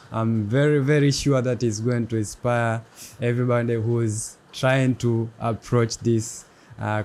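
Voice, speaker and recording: A young man hosting a casual interview show, speaking haltingly and in a friendly way with many pauses. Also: mid-range voice, mellow. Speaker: podcast host. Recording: faint background noise.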